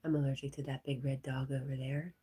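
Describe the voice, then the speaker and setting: A stuffy-nosed woman hosts a relaxed livestream, chatting and reading out viewer comments. Her voice sits low and mellow, with a warm, unhurried tone. She sounds congested throughout. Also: breathy edge. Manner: chatty, talks to her dog and reads chat aloud. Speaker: livestream host. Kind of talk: casual livestream chat.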